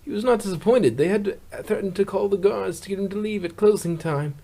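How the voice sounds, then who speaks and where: A man's voice: high-pitched, brisk, dark tone. A man voicing a good-natured, pun-loving pony who narrates a fan comic blog in a chatty way. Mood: cheerful. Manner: chatty, eager questioner.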